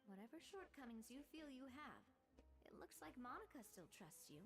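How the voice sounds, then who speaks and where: A woman's voice: high-pitched, big pitch swings, moderate pace, fairly bright tone, exaggerated voice. A woman playing a confident, good-humored young woman in a video game, playful and persuasive with her friends. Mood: reassuring. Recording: game music behind the voice.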